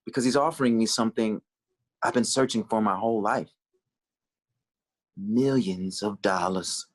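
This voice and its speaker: A man's voice: fairly low pitch, normal speed, close to an everyday voice. An actor playing an earnest, naive young man in a sitcom table read on a video call. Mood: earnest, excited.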